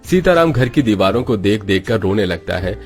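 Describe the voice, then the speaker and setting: A man's voice: fairly low pitch, animated, brisk, soft background music. A man giving dramatic scripted narration of a story.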